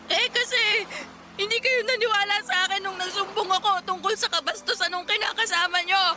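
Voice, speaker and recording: A woman's voice: extremely high pitch, fairly even intonation, brisk, background noise. A woman playing a hurt, defiant young runaway daughter in a radio drama, tearful and accusing. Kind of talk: Tagalog radio drama acting.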